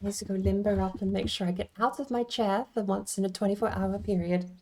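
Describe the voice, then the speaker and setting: A woman's voice: medium pitch, fairly even intonation, fast talker, a little mellow. A woman giving casual livestream game commentary, rambling and thinking aloud as she plays. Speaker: game streamer.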